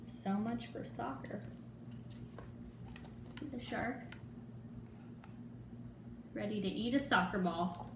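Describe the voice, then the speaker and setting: A woman giving an expressive dramatic reading of a children's picture book, dropping into hushed asides. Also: medium pitch, takes it slowly, long pauses. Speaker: storyteller. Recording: echoey room with faint noise.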